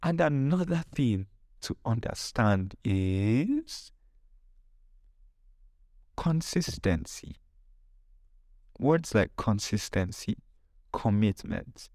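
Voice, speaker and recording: A man's voice: on the low side, big pitch swings, extremely slow, pauses often, darkish tone, no echo, studio quality. A man hosting a podcast in an informal advice monologue, speaking emphatically with repeated phrases and rhetorical questions. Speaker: podcast host.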